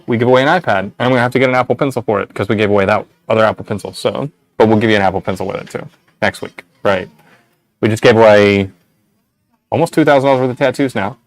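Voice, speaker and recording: A man's voice: lowish voice, no echo. A young man with a nasal voice, hosting a casual shop livestream and rambling through giveaway details with a lot of "right?".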